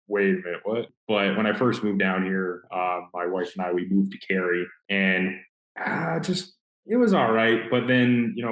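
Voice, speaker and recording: A deep-voiced young man from Maine, a metal musician, talks in a loose, rambling way, pausing and restarting as he goes. His voice carries a noticeable room echo, as if he were on a video call from an untreated room. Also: fairly bright tone. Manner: easygoing, rambling, with frequent pauses and restarts. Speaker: death metal musician, interview guest. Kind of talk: casual interview answers. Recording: roomy echo.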